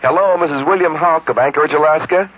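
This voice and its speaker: A man's voice: on the high side, quick pace. A man making a breezy, upbeat, chatty promotional phone call. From United States. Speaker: advertising caller. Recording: tinny, noisy recorded phone call.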